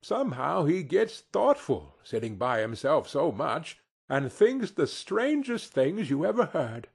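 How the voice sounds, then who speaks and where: A man playing a gentle, affectionate, poor Victorian father in a storybook, earnest and emotional. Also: medium pitch, wildly swooping pitch, slow, husky, exaggerated voice. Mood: tender, moved.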